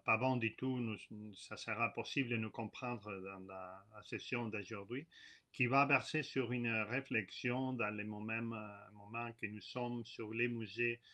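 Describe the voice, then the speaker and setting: Bright-voiced man lecturing. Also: fairly low pitch. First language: not French. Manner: halting, hesitant, frequent filler sounds and restarts. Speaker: guest lecturer. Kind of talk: online conference lecture. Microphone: computer mic on a video call.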